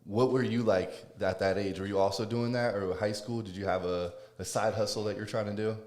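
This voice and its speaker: A man hosts a relaxed interview, asking questions and cheering his guest on. His voice sits on the low side and he speaks at an easy conversational pace. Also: brisk. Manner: encouraging, asks questions, hypes the guest. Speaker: podcast host. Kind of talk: casual interview talk.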